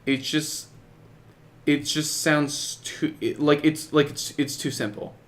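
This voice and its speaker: A young man co-hosting a podcast, chatting casually in a halting, skeptical manner. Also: on the high side, steady tone. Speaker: podcast co-host. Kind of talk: casual chat about video games.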